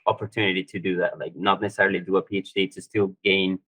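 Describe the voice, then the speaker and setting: Low-voiced man on a panel. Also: quick pace, rough recording. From Colombia. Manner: relaxed, uses many "like" fillers. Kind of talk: casual panel talk.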